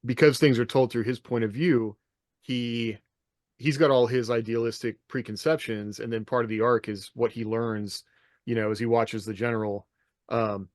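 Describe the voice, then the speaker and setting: A man's voice: medium pitch, steady tone, moderate pace, no echo, clean studio sound. A man talking casually and reflectively as a co-host on a podcast panel.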